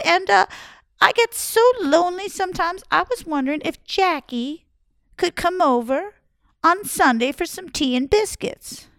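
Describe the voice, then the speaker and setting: A woman's voice: very high voice, creaky, fairly bright tone, dry recording, voice pushed up, exaggerated voice. A woman voicing a little old lady who sounds sweet and hesitant, with fillers, while she schemes. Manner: quavery, hesitant, overly sweet.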